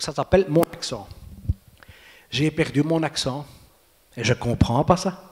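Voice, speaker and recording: Man, Brussels accent, guest author, playful and joking, recites his verse, slightly high voice, long hall reverb. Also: darkish tone. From Brussels, Belgium. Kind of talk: casual interview and poetry recital.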